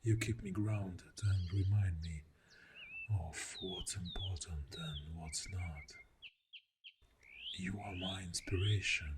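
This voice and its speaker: A man playing a clingy, adoring boyfriend in a soft, breathy ASMR roleplay, murmuring tenderly and often dropping toward a whisper. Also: deep voice, heavy background noise. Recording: birdsong and nature sounds throughout. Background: birdsong, river and forest ambience.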